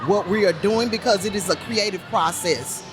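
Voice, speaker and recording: A woman with a deep voice talking as a podcast guest, rambling with many restarts and fillers. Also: moderate pace, over music. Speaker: guest, puppet show creator. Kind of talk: casual podcast conversation.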